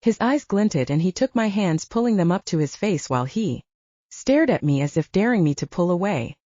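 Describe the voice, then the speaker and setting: Female audiobook narrator, mellow, expressive intonation, scripted first-person storytelling, dry and slightly dull recording. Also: fairly low pitch, normal speed, dry recording.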